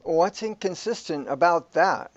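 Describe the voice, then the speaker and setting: An actor playing a calm, cryptic, all-knowing guide, measured and matter-of-fact, in a sci-fi radio drama. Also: male voice, fairly high pitch, no room sound. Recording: dry, dull lo-fi audio.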